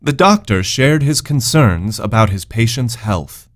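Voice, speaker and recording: A man's voice: medium pitch, animated, measured pace. A man reading scripted example sentences as a vocabulary narrator.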